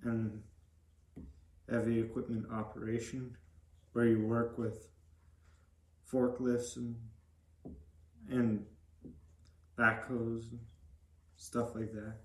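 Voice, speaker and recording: A young man gives plain, halting answers as a podcast guest. His pitch is mid-range and stays quite flat, and the room sounds echoey. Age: young adult. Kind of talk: casual interview answers.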